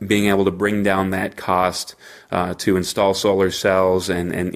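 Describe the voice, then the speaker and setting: A man's voice: deep voice, creaky. A man hosting a solo podcast from a hotel room, with a stuffy head cold and a rambling delivery full of fillers and restarts. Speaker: podcast host. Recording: slightly echoey hotel room.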